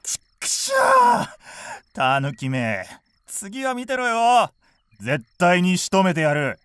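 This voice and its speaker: A man playing a hot-headed, vengeful village hunter in a radio drama, rough and blustering. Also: sits high, huge pitch swings, takes it slowly, fairly bright tone, dry recording, exaggerated voice. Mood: furious.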